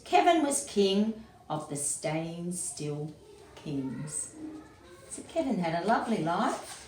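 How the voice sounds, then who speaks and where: Echoey woman reading a children's storybook. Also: mid-range voice. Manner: expressive, explains pictures simply for young children. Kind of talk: animated picture-book read-aloud with explanatory asides. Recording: roomy, echoey, distant mic with faint noise.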